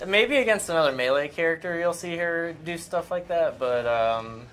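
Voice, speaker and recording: A man's voice: fairly high pitch, lively intonation, moderate pace, fairly bright tone. A man co-presenting a game stream, explaining technical gameplay details in an informal, matter-of-fact way.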